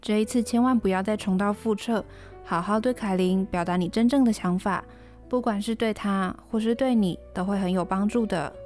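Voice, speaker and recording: A woman's voice: medium pitch, moderate pace, a little mellow, faint music behind, close to an everyday voice. An actress with a Taiwanese Mandarin accent playing a caring, thoughtful young woman dorm mate in a radio drama. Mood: gentle, earnest. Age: young adult.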